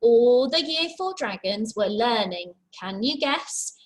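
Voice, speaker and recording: A woman's voice: a little high, animated, slow. A woman teacher reading a picture book aloud to young children, expressively, with simple chatty asides and questions. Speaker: infant school teacher.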